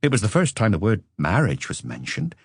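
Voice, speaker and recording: A man's voice: fairly low pitch, wildly swooping pitch, fairly quick, gravelly, slightly rough, no room sound, studio quality, heavily acted. A man voicing a weary businessman under police questioning, earnest, wordy and self-justifying, in an audiobook. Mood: defensive.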